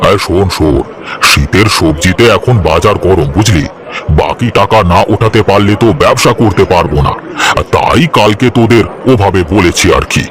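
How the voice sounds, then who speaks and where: A man's voice: deep voice, normal speed, lightly acted. A man voicing a bossy village moneylender in a cartoon story, with a blunt, commanding and folksy manner. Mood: kindly, bossy. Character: gruff, bossy village moneylender, a man, soft-hearted underneath. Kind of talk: Bengali cartoon story dialogue.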